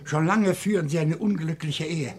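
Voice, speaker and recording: An actor playing an agitated, accusing professor who questions deliberately and dramatically in a German radio crime drama. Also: male voice, fairly high pitch, darkish tone, slight background noise, natural voice. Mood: outraged.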